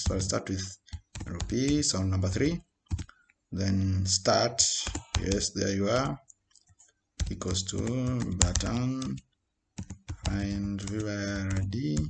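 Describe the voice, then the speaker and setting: Low, creaky-voiced man teaching coding. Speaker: programming tutor. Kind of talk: informal screen-recorded coding tutorial. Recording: noisy home recording with keyboard clicks. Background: keyboard typing and mouse clicks.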